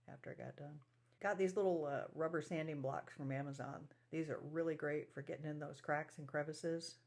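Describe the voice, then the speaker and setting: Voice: mid-range voice, creaky. A speaker casually narrating a hands-on restoration project as the host, with folksy, colloquial phrasing.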